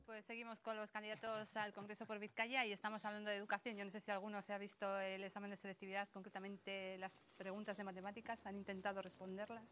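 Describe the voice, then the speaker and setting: A woman moderating a televised debate, briskly steering the panelists and cutting in. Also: mid-range voice, little pitch movement, fairly bright tone, soft background music. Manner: brisk, cuts in to steer the talk.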